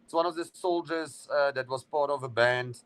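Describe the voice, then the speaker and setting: A man's voice: medium pitch, normal speed, a bit bright, clean tone, rough recording. A middle-aged man, a guest chatting on a live stream, rambling with many fillers and restarts. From South Africa. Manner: rambling, full of fillers and restarts, says "brother". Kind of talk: casual chat on a live stream.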